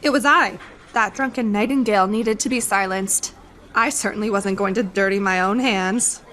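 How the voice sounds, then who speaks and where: A woman's voice: mid-range voice, normal speed, a bit bright, close to an everyday voice. A woman playing a haughty, scheming noblewoman, imperious and condescending, in a radio drama. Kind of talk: radio theatre acting.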